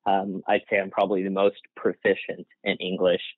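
A man whose first language is Gujarati answers an interviewer in a hesitant, restarting way with a slightly low voice. He comes through a tinny, phone-like remote call line. The sound is dry and close, with no room around it. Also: completely flat delivery, a little fast, no echo. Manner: hesitant, many restarts and fillers, laughs lightly. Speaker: guest, workplace psychologist.

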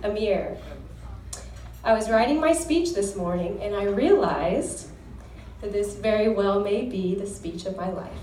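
A woman's voice: medium pitch, lively intonation, a little slow, noisy background. A young woman giving an earnest, heartfelt wedding toast as the groom's sister, reading scripture in a devout tone. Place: wedding reception hall. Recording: echoey hall recorded from far off.

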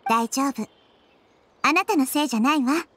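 A woman playing a gentle, wise child-like nature deity in an anime game, speaking softly and thoughtfully. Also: high voice, moderate pace, dry recording. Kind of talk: Japanese anime game voice acting. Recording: background music under the voice.

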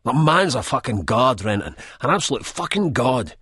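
A jabbering, excitable man wired on cocaine, as a man acts him out in a storybook reading. He talks fast and keeps going, and his voice stays husky under the excitement. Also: medium pitch, no room sound, studio quality, pitched above the performer's own voice, exaggerated voice. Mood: ranting. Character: coked-up, jabbering Scottish man.

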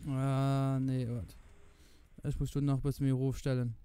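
A man with a Luxembourgish accent giving casual gameplay commentary, excitable and repeating words in quick bursts. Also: mid-range voice, smooth. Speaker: gamer commentating own play.

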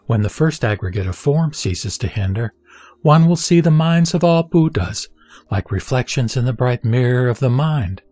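Male scripture reader, fairly low voice, measured deliberate delivery over faint background music. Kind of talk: reading a Buddhist sutra translation aloud. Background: soft background music.

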